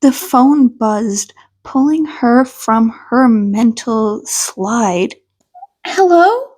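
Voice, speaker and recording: A woman's voice: a little high, very slow, a little mellow, slightly rough, rough recording. A woman reading a scripted story aloud as an amateur audiobook narrator.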